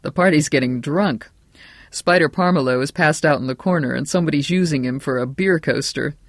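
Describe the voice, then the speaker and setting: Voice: medium pitch, fairly quick, dry recording, clean studio sound, lightly acted. A narrator voicing a blunt, commanding father in an audiobook, speaking firmly. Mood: boisterous. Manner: blunt, firm. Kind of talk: audiobook character acting.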